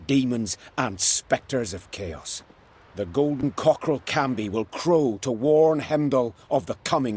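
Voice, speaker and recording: A man's voice: medium pitch, husky. Solemn, devout male pilgrim. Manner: solemn, formal. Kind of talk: video game dialogue acting.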